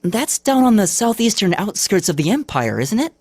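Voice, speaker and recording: A gentle, boyish teenage lad, with an American accent. He is polite and friendly, with bouncing, expressive intonation, recorded dry for a game. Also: high voice, normal speed, no echo, heavily acted. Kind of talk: video game voice acting.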